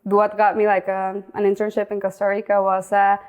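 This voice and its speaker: A young woman speaking English with a Mexican accent, Spanish being her first language. She talks in an even, narrow pitch band, pausing and restarting phrases as she tells of herself as a guest. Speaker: guest, outdoor content creator. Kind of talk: casual interview talk.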